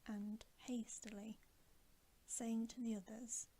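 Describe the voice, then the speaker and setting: A woman reading a storybook in a soft, breathy ASMR whisper, with a gentle, soothing delivery. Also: a little high, flat delivery, slow pace, poor audio. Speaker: ASMR bedtime story reader.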